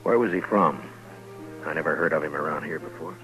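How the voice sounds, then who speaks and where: A stoic American lawman of the Old West in a vintage radio drama. His voice sits low, and he talks in a measured, laconic manner, dutiful and plain. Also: a bit bright, faint music behind, rough recording. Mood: calm, probing. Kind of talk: 1950s American radio western acting.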